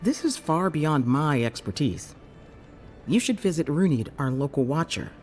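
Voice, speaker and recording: Man acting a high-voiced, lively clinic healer. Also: soft background music, lightly acted. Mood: puzzled, thoughtful. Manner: inquisitive, measured, advising. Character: keen, caring clinic healer, intrigued by strange souls. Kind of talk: video game character dialogue.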